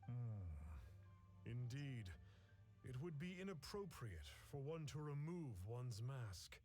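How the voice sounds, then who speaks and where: An actor playing a solemn, cryptic masked sage in a video game, speaking in a formal, archaic way. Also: male voice, mid-range voice, animated, slow pace, warm tone, soft background music. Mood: lofty. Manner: lofty, archaic, formal, calls himself "one". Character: solemn, cryptic masked male self-styled immortal sage. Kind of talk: video game character voice acting.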